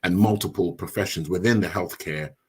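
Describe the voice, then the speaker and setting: A middle-aged immigrant man hosting a podcast, speaking in a measured, deliberate way. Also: low-pitched. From immigrant, came as a child. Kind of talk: casual discussion.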